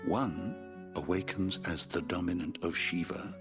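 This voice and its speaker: An actor with an English accent playing a learned, courtly scholar in a fantasy game, formal and eloquent. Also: male voice, low-pitched, measured pace, music in the background. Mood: scholarly, intrigued. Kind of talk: video game drama acting.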